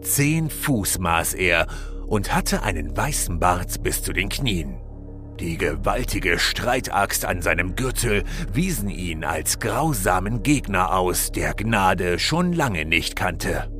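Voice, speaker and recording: A man narrating a fairy-tale audio drama as a solemn, measured storyteller. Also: low-pitched, very animated, exaggerated voice. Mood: solemn storytelling. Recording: music under the narration.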